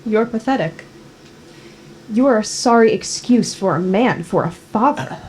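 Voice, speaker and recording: A woman's voice: mid-range voice, expressive, unhurried, a little mellow, a little hiss or hum, close to an everyday voice, poor audio. A woman playing a bitter, wounded daughter confronting her guilty father in a screenplay table read. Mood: contemptuous.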